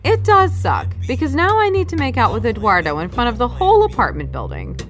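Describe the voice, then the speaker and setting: A woman's voice: fairly high pitch, wildly swooping pitch, a little fast, fairly bright tone, faint music behind. A woman playing a fretful, sarcastic young woman in an audio drama. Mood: annoyed.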